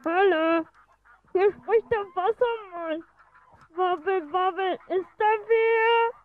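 Mock water spirit for a radio play, drawn-out burble, thin phone-like hose sound. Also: extremely high pitch. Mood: spooky burbling. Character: burbling water spirit, faked by a prankster boy.

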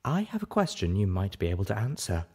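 A man voicing a refined, polite, inquisitive female pony with a soft, measured manner in a story reading. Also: lowish voice, wildly swooping pitch, breathy edge, heavily acted.

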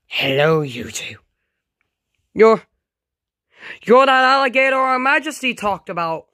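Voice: mid-range voice, takes it slowly, fairly bright tone, dry recording. Anxious dinosaur hero for a homemade story, acted by an amateur, loyal and dramatic, in a dry recording. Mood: alarmed defiance. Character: frightened, loyal dinosaur hero protecting friends.

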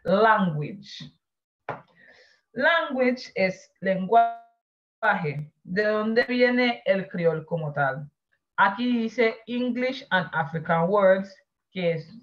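A woman whose first language is San Andrés Creole, teaching an online class and slowly explaining and translating each word. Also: medium pitch. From San Andrés Island, Colombia. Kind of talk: online class over a video call. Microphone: video call. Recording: dull lo-fi video call audio.

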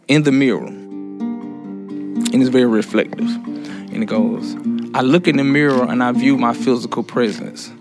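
An earnest man speaks reflectively, as a student in a prison writing class. His mid-pitched voice has a clear gravelly creak, and a guitar plays faintly behind him. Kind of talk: reflective talk and recited poetry. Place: room inside a prison. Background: soft guitar music.

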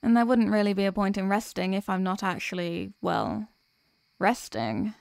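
A woman playing a sweet, sleepy workaholic girlfriend in an ASMR audio roleplay, speaking softly and hesitantly with affection. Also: medium pitch, normal speed, vocal fry, dry recording, lightly acted. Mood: sleepy, giving in.

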